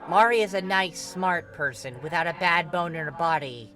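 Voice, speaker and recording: A man puts on a snarky, exasperated teenage boy in a raised voice. The character is chatty and foul-mouthed, with an eye-rolling tone, in a story reading over clear music. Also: a little high, normal speed, bright, forceful tone, pitched above the performer's own voice. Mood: wry exasperation. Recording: music behind the voice.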